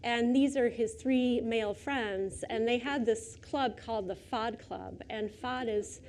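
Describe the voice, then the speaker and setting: Middle-aged woman, soft voice, slightly high, chatty lecture on a hall mic with faint noise. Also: a little slow. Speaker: guest lecturer. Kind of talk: public lecture. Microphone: lecture microphone.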